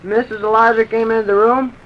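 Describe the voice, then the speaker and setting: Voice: mid-range voice, little pitch movement, a little fast, natural voice. A performer playing an earnest, respectful twelve-year-old boy in an old-time radio drama, speaking plainly. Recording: dull, noisy vintage radio recording.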